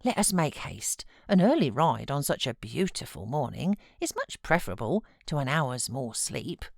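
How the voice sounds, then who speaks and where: Gentle, coaxing British housekeeper, read by a woman. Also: rumbling low, big pitch swings, moderate pace, vocal fry, dry recording, clean studio sound, exaggerated voice. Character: kindly housekeeper soothing and coaxing a child. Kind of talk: audiobook character voice.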